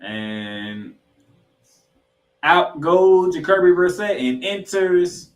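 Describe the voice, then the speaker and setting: A man's voice: high-pitched, lively intonation, extremely slow. A man hosting a sports podcast, giving emphatic, opinionated commentary and game recaps. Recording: roomy, echoing voice pickup.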